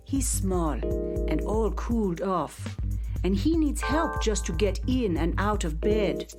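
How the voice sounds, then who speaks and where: A gentle, folksy caretaker. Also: female voice, medium pitch, animated, slow, music in the background. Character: kindly nursing home caretaker woman. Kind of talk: audio drama acting.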